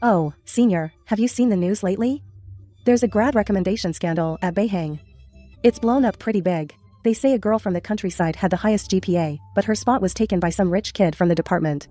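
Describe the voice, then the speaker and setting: A woman plays a considerate young university student in a dubbed drama. She chats casually in a mellow, relaxed voice, with faint music behind. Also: medium pitch, a little fast, lightly acted. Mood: casual, curious. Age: young adult.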